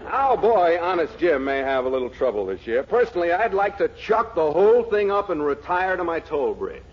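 Breezy, crooked American political boss. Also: male voice, fairly high pitch, big pitch swings, brisk. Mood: brazen, cheerful. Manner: breezy, brazenly frank. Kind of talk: 1940s radio comedy acting. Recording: noisy, dull 1940s radio recording.

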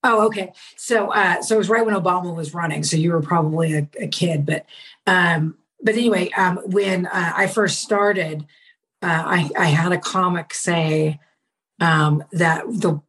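A woman from the United States hosting a casual interview, talking in a rambling way with many pauses and restarts. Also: fairly low pitch, a bit bright. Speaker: podcast host, comedian.

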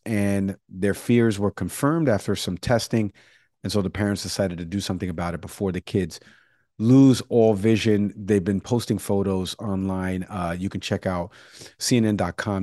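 Low-voiced man hosting a casual talk segment. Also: a little mellow, dry recording, clean studio sound. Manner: rambling, with fillers and restarts. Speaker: show host.

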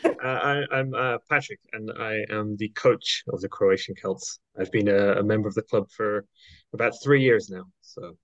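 A man's voice: lowish voice, moderate pace, a bit bright, no room sound. A man speaking as a podcast guest, chatting casually in a hesitant, jokey way, with dull sound. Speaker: podcast guest, Gaelic games coach. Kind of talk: casual interview chat. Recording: dull, muffled audio.

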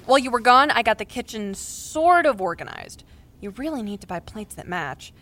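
A woman's voice: fairly high pitch, very animated, moderate pace, fairly bright tone. A woman playing a blunt, protective best friend in an audio drama, with a direct, casual and insistent delivery. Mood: breezy. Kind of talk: naturalistic audio drama acting.

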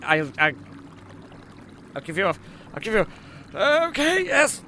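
A man's voice: sits high, sing-song, a little slow, pushed and bright, slight background noise, pitched above the performer's own voice, heavily acted. A man voicing a flustered, grateful male doctor of science in a tabletop roleplay, stammering and gushing. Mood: flustered gratitude. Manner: stammering, gushing, throat-clearing. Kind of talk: improvised tabletop roleplay acting.

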